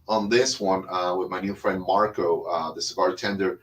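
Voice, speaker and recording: Chatty Cuban-born man, rather low voice. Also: fairly even intonation, normal speed, a bit bright. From Cuba. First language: Spanish. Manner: rambling and chatty, with many fillers and restarts; smoking a cigar while talking. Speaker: cigar podcast host. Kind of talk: casual monologue.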